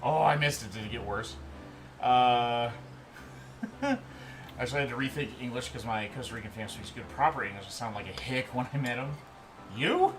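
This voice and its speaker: A man in his thirties hosting a livestream in a relaxed, rambling way, chatting and reading out viewer comments. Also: mid-range voice, normal speed, pauses often. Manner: relaxed, rambling, answers chat as he goes. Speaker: livestream host. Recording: steady background noise.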